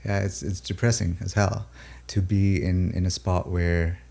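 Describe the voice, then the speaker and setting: A low, mellow man with a gravelly edge speaks slowly in a reflective interview. He is a native Urdu speaker from Pakistan and the UAE. He pauses and restarts often as he thinks aloud about his music. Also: little pitch movement. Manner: thoughtful, halting, many fillers and restarts.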